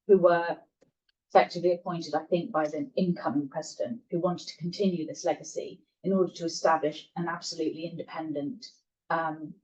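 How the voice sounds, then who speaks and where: A woman's voice: on the low side, darkish tone. A woman on a lecture panel answering a question in a thoughtful, hesitant way, correcting herself as she goes. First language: not Spanish. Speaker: panel speaker. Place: lecture room. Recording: distant mic, muffled lo-fi sound.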